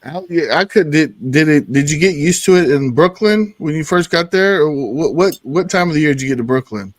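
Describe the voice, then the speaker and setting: A man's voice: a little high, animated, a little fast, no echo. A man hosting a podcast in an informal, agreeable, conversational way, reacting to his guest and swapping stories. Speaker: podcast host, military veteran. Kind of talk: casual chat.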